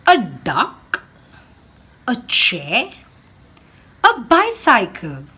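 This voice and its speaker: Woman, teacher, picture-book read-aloud, lively swooping pitch, fairly high voice. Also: slightly rough. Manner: slow, expressive storytelling with dramatic emphasis; speaks directly to young listeners.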